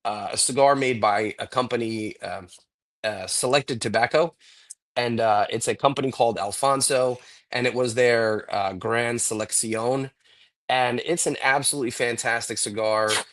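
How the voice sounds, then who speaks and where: A man co-hosting a podcast, a little hoarse and congested from a cold, rambling enthusiastically in casual chat with lots of fillers. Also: medium pitch, normal speed, fairly bright tone. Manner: rambling, enthusiastic cigar talk with many fillers. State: getting over a cold, a bit hoarse and congested. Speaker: podcast co-host.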